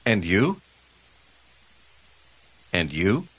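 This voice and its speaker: Man, pronunciation drill speaker, very slow, wide pitch swings. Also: very animated, fairly bright tone, breathy edge, rough recording. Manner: repeats single words for pronunciation practice. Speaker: model speaker in a language course.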